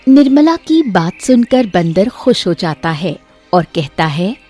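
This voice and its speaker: A woman's voice: medium pitch, very animated, unhurried, mellow, breathy edge, faint music behind. A woman narrator reading a scripted moral story for children.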